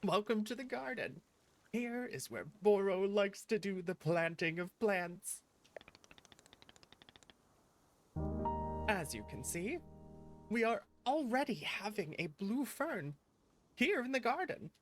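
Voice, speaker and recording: A woman voicing a gentle, patient garden keeper in a cozy video game, with courteous, slightly formal instructions. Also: medium pitch, lively intonation, a little slow, faint music behind, close to an everyday voice. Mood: gentle, instructive. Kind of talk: reading cozy video game dialogue aloud.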